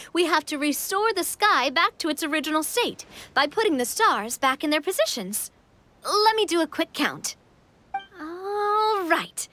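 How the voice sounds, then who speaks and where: Very high-voiced, perky young guide. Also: female voice, pushed and bright, exaggerated voice. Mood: instructive. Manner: upbeat, playful, reassuring. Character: cheerful, confident young female adventurer guide. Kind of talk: video game character acting.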